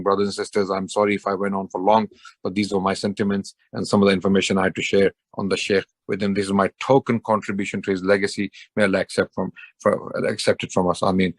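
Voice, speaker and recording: A man's voice: low-pitched, no room sound. A man giving an earnest spoken tribute to a scholar he admired, repeating phrases for emphasis. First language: not Persian. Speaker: Islamic preacher giving a tribute.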